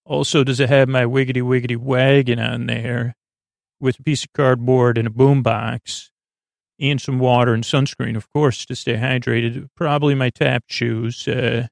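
A man hosting a bedtime podcast in a rambling monologue, halting and often losing his thread, silly and reassuring. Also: mid-range voice, flat delivery, vocal fry, no room sound, clean studio sound. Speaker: podcast host.

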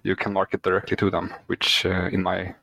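A man's voice: low-pitched, completely flat delivery, a little fast, creaky, pushed and bright, no room sound. A Swedish man whose first language is not English, a guest author answering podcast questions hesitantly with many fillers. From Sweden. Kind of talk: podcast interview.

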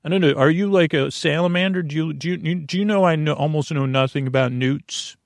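A man with a creaky voice hosting a sleep podcast in a lulling, soothing, meandering monologue that halts and wanders. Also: a little high, brisk, no echo. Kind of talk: rambling, tangent-filled bedtime monologue.

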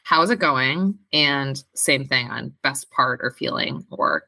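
Very deep-voiced woman with a bright edge. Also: sing-song, measured pace, creaky, no echo. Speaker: podcast host. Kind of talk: casual chat.

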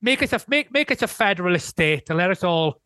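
A man's voice: high voice, lively intonation, fast talker, pushed and bright, dry recording, studio quality. Welsh man musing with many restarts. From Wales. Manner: thoughtful, hedging, frequent restarts. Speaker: guest historian. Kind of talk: casual interview conversation.

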